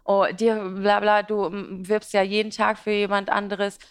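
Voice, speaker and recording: A chatty woman in her twenties, born in Iraq with Aramaic as her mother tongue, talks about her work as a blogger. She rambles, laughs often and fills her pauses with hesitations. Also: medium pitch, level tone, clean tone. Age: 20s. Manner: talkative, rambling, frequent laughter and fillers. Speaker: beauty and fashion blogger, guest. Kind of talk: interview answers.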